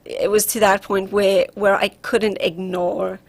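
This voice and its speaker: South african lady guest on a show, gravelly fry, earnest, telling her story. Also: medium pitch, level tone, unhurried, no room sound. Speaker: guest, relationship coach. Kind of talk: personal storytelling in an interview.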